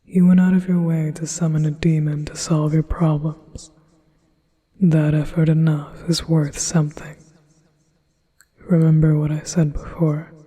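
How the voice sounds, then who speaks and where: A possessive male demon murmurs close to the ear, voiced by a man in an ASMR roleplay. His whisper is heavily breathy and slow, with little rise or fall in pitch. He sounds silky, flattering and persuasive. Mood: coaxing.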